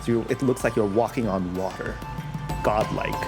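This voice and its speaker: A man's voice: fairly low pitch, music in the background. Man painting a lavish scene, casual. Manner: lush, slow scene-painting with casual asides. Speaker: player describing his character's throne room. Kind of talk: descriptive tabletop roleplay narration.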